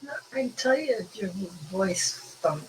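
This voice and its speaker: A woman's voice: medium pitch, animated, slow pace, background noise. A woman chiming in with short remarks from off-mic while her partner hosts. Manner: brief off-mic interjections.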